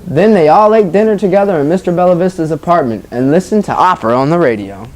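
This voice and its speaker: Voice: medium pitch, animated, quick pace, a little mellow, poor audio. Teacher reading aloud and questioning a class. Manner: prompting children with questions, acknowledging their answers. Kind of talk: read-aloud storytelling and classroom discussion.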